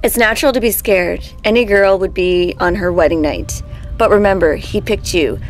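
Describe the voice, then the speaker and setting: A woman's voice: mid-range voice, moderate pace, faint music behind, natural voice. An actress playing an eerily soothing, coaxing witch aunt devoted to a cult in an audio drama. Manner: coaxing, reassuring.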